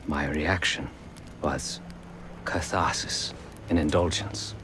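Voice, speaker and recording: An actor playing a solemn, remorseful former killer turned pacifist in a video game, speaking in formal, measured phrases. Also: male voice, very deep voice, fairly even intonation, noisy background. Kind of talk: video game cutscene acting.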